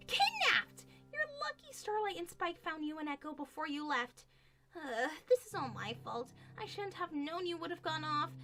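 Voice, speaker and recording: A woman playing a fretful, overprotective female pony guardian in an animated drama. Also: very high-pitched, exaggerated voice.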